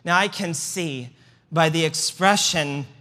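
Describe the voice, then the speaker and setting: A man with a Midwestern accent lectures to a room in a loose, joking way, full of asides and fillers. His voice is bright and forward. A long hall reverb trails each phrase. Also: fairly high pitch, slow. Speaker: church history speaker. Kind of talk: lecture with slides.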